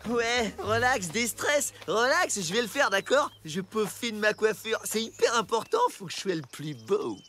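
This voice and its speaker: A woman's voice: medium pitch, expressive, moderate pace, few pauses. A woman voicing a vain, laid-back, cocky would-be hero in a French dinosaur cartoon. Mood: smug. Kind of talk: French animated cartoon dub. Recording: loud music under the voice.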